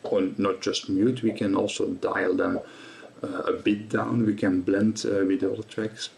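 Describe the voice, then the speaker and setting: A man with a fairly low voice and plenty of gravelly fry presents a gear tutorial. He explains things casually and step by step. His delivery is hesitant, often stopping and repeating a word before moving on. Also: flat delivery, measured pace, a little mellow, a little hiss or hum.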